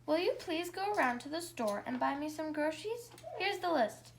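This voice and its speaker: Storybook mother, weary yet firm and courteous, high-pitched, read by a woman, light background noise. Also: expressive, normal speed, vocal fry. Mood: polite. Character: tired, firm but polite storybook mother.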